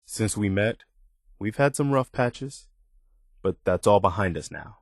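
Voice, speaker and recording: A loyal, duty-bound militia officer in a video game. His voice sits fairly low and sounds close and dry, with no room around it. He talks plainly and sincerely, humble and a little halting. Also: animated, no echo. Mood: warm, sincere. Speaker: game character. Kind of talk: video game dialogue acting.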